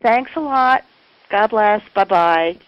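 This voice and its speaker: A woman's voice: mid-range voice, animated, takes it slowly. A mature woman from the United States leaving a casual, gushing listener message by telephone, with dull sound. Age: middle-aged or older. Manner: gushing, effusive. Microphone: telephone call. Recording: dull, band-limited call recording.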